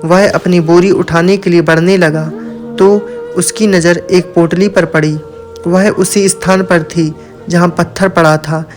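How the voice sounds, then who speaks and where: A man with a high voice narrates a moral story from a script. His delivery stays level, with little rise and fall in pitch. Music plays along with the narration. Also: steady tone, unhurried.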